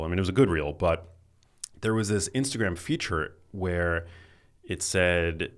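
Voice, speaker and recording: A man hosting a podcast, talking in a relaxed, chatty way and getting animated when something excites him. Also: sits low. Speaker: podcast host. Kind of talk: casual interview conversation.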